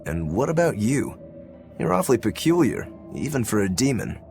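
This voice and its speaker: A man's voice: mid-range voice, very animated, normal speed, creaky, a little mellow, soft background music. Laid-back swordsman demon. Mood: casual. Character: easygoing male swordsman war demon, fond of drink. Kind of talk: video game cutscene acting.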